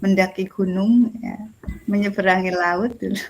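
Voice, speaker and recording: A woman's voice: medium pitch, steady tone, slow, darkish tone, rough recording. A woman facilitating an online class in a casual, jokey, giggly and self-deprecating way. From Indonesia. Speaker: facilitator of an online teacher training forum. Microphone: video call.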